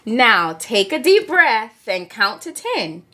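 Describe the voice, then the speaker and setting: A woman voicing a curious, wise male monkey in a children's story, giving gentle, coaxing advice. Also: on the high side, sing-song, takes it slowly, exaggerated voice.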